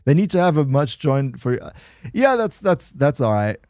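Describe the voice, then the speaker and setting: Man, German accent, young adult, gaming stream host, chatty, lively pitch, dry close mic. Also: moderate pace, no echo. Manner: chatty, reads and answers chat, laughs often. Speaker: livestream host. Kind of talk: casual gaming livestream chat.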